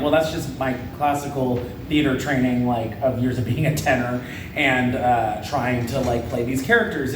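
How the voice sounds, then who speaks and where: Man, voice actor, earnest explainer, distant mic, roomy echo, background noise. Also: mid-range voice. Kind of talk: panel interview answer. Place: convention panel room. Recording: echoey room, from afar, noisy.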